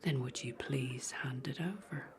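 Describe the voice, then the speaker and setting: A woman voicing a weary, scornful woman with glowing red eyes in a fan audio drama, speaking in a husky whisper with cutting contempt. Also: very deep voice, slight background noise, full character voice. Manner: cutting, contemptuous, slow and deliberate.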